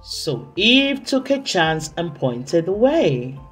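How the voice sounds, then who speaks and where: A woman reading a story aloud for children, deliberately, with dramatic emphasis and drawn-out words. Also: on the low side, very animated, fairly bright tone, soft background music. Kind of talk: expressive read-aloud narration for children.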